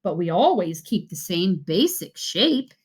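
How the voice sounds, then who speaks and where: A woman voicing a curious, eager young girl in a children's story, questioning and excitable. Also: lowish voice, unhurried, slightly rough, exaggerated voice.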